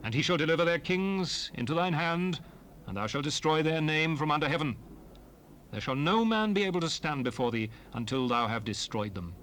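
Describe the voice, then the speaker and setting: An actor playing a solemn, commanding prophet who exhorts his people in measured, oratorical scripture recitation for an audio drama. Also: fairly high pitch, lively intonation, a bit bright. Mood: commanding, fervent. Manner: solemn, oratorical, measured phrasing with emphatic rises and falls. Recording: noisy unprocessed 1970s cassette rip.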